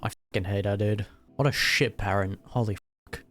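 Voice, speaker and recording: A man's voice: lowish voice, fairly even intonation, moderate pace, fairly bright tone. A man giving casual reaction commentary on a video game, informal and thoughtful, with blunt wording. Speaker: gaming reaction host. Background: game music.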